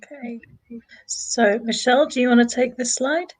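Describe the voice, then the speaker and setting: A woman's voice: fairly high pitch, fairly even intonation, normal speed, poor audio. A woman with a British accent, talking conversationally as an English teacher co-hosting an online lesson.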